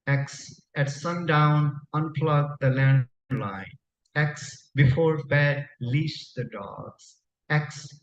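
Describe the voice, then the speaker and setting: Slow-paced man reading poetry, echoey room. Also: fairly high pitch. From Nepal. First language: Tamang. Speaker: poet. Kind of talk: live poetry reading with spoken introductions. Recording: dull, echoey room recording, faint noise.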